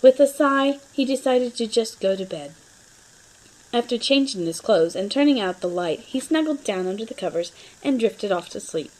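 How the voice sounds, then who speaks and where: A woman narrating a humorous fan story as an expressive, theatrical dramatic reading. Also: a little high, normal speed, low-quality recording.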